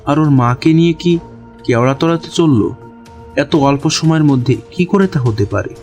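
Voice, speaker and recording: A man narrating a horror story in the first person with a deliberate, suspenseful, literary delivery. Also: a little high, darkish tone. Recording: music behind the voice.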